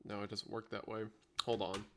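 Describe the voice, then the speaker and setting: A man's voice: lowish voice, level tone, moderate pace, bright, forceful tone, slight background noise. A man hosting a livestream in casual unscripted chat, rambling and thinking aloud as he multitasks. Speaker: livestream host.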